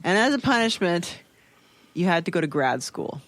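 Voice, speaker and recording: A man's voice: high voice, sing-song, normal speed, a bit bright, no echo. Teasing, sardonic podcast man. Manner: teasing, sardonic, mock-serious. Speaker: podcast co-host. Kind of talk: casual chat.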